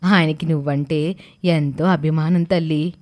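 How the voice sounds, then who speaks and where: A woman voicing a grave, kindly schoolmaster's widow in a cartoon story, measured and earnest. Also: low-pitched, fairly even intonation, darkish tone. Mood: earnest, sorrowful.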